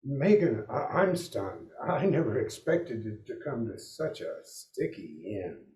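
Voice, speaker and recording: Theatrical 1940s radio co-host, male performer: earnest, expressive melody, bright tone, roomy recording. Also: mid-range voice, slow pace. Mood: stunned. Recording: roomy, echoing sound.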